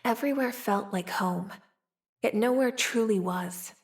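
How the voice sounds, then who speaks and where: A woman's voice: medium pitch, a little slow, close to an everyday voice. A young woman voicing a playful, streetwise, wry frontier woman with a tail in a video game. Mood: wistful, world-weary. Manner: teasing, sly, drawling, sighing between wry remarks. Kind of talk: video game character voice lines.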